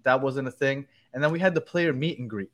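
A man's voice: mid-range voice, brisk, fairly bright tone. A man hosting a casual soccer fan podcast, chatty and joking. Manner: chatty, joking, loose restarts. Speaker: podcast host.

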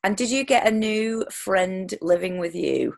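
A woman's voice: mid-range voice, animated, a little slow, gravelly. A woman hosting a casual interview chat, talking in loose, rambling sentences full of restarts and ums. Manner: chatty, lots of restarts and ums. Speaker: podcast host.

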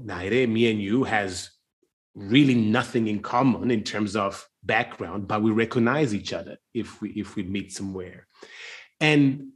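Searching, halting man in reflective conversation. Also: lowish voice, fairly bright tone. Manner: searching, halting, circling around his point.

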